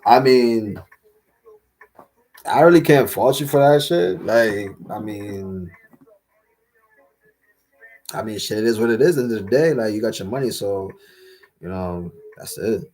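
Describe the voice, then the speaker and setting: A young man hosting a casual livestream Q&A, rambling with lots of fillers and slang, his voice a little raspy from talking all day. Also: on the low side, measured pace, pauses often, a little mellow, low-quality recording. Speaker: livestream host answering chat.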